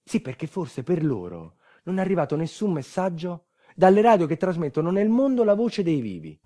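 An actor playing a bitter, resigned earthquake survivor in a theatrical storytelling monologue, sardonic and folksy, with wry asides. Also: male voice, a little high, very animated, normal speed, dark tone. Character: bitter, resigned southern Italian earthquake survivor telling his tale.

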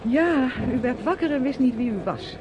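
An actress playing a reticent, troubled young niece in a radio play, speaking in a hesitant, terse and evasive way. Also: female voice, high-pitched, animated, moderate pace, background noise. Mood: matter-of-fact. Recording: dull lo-fi radio recording.

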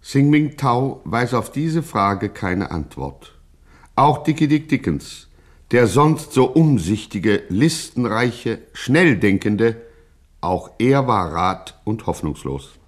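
A man's voice: mid-range voice, big pitch swings, slow. A man narrating a scripted radio satire in a dramatic, solemnly pompous, mock-heroic manner.

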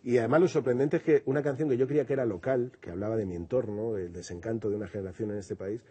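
A singer-songwriter from Madrid with a Madrid Spanish accent, talking reflectively in an interview and often restarting and repeating words. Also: male voice, mid-range voice, fairly even intonation, fast talker, dark tone, breathy edge. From Madrid, Spain. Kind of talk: relaxed interview conversation.

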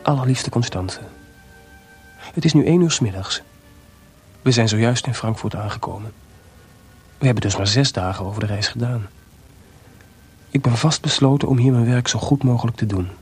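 Gravelly, brooding, jealous composer. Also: male voice, mid-range voice, normal speed, long pauses, darkish tone, faint music behind. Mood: earnest, tender. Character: brooding, jealous male composer, husband, proud and bitter. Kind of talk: Dutch radio play acting.

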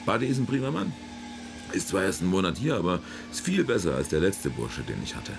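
A man's voice: low voice, big pitch swings, fairly quick, gravelly, over music, exaggerated voice. A man playing an easygoing, affable garage boss in a radio crime drama.

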